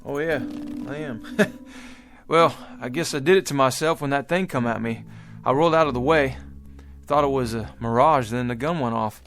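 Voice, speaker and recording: An actor playing an earnest, bewildered pioneer wagon traveler in a radio drama, speaking hesitantly in folksy old-time phrasing, with music behind. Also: male voice, mid-range voice, normal speed, fairly bright tone, lightly acted. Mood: dazed, polite.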